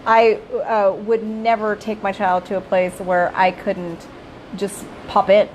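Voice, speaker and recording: A middle-aged woman who directs a child care center, giving casual live-streamed advice. She is hoarse from losing her voice and keeps checking in with viewers as "you guys.". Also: mid-range voice, level tone, slow, a little mellow, a little hiss or hum, rough recording. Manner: chatty, addresses viewers as "you guys", often tags "right?".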